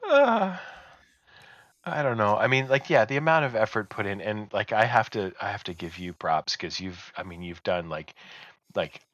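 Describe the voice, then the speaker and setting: Co-host, man, very deep but bright-edged voice, self-deprecating, laughs a lot. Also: expressive, moderate pace, dry recording, highs cut off. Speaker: podcast co-host. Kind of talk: casual chat.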